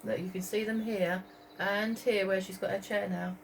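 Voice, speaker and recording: Lady primary teacher doing storytime, expressive, chatting to the kids about pictures, bit of background noise. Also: medium pitch, normal speed, low-quality recording. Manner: expressive, asks the listeners questions and points out pictures. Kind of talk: storytime read-aloud for young children.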